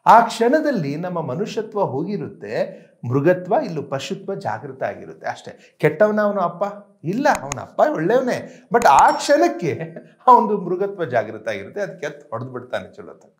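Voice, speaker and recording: A man's voice: on the high side, very animated, normal speed. A man giving an emphatic, conversational motivational talk, mixing in English phrases and often checking in with listeners. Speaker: motivational trainer.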